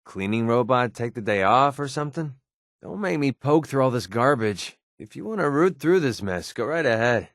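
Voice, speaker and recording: A man's voice: medium pitch, animated, moderate pace, dry recording, clean studio sound, natural voice. A man with an American accent playing a sarcastic, wisecracking young mercenary companion in a video game. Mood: wry, sarcastic. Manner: sardonic, wisecracking, colloquial. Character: wry, sarcastic young male wasteland mercenary. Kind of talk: video game companion dialogue, naturalistic acting.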